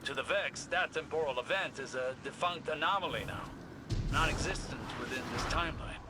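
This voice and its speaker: A man plays an older scholar-mystic, solemn and earnest. His delivery is measured and articulate, in the manner of video game drama, over loud ambient noise. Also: fairly high pitch, natural voice. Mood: explanatory.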